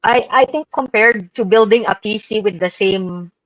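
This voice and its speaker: A woman's voice: medium pitch, normal speed, a bit bright, highs cut off. A woman giving informal, opinionated commentary as a panelist on a gaming talk show.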